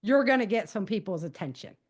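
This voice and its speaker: A woman's voice: medium pitch, animated, fairly quick. A woman speaking as a podcast guest, giving practical advice in a casual, conversational way and explaining things with animation.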